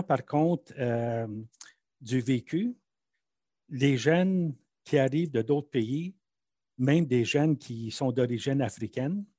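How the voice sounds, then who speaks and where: A Canadian French man speaks frankly and with emphasis, his voice a little husky. The sound is dry and close, with no room around it. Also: medium pitch, darkish tone, no echo. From Manitoba, Canada. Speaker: interviewee. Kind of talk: interview answers.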